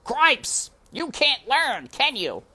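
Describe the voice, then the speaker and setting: A reader voicing an irritable, officious hooded male mouse guard in a storybook, loud, pompous and impatient. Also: sits high, wildly swooping pitch, takes it slowly, pitched above the performer's own voice, full character voice. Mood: irritated, scornful.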